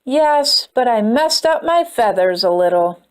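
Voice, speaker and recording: A woman's voice: on the high side, animated, measured pace, smooth, pitched below the performer's own voice. A woman voicing a silly, eager male bird in a storybook, upbeat and encouraging.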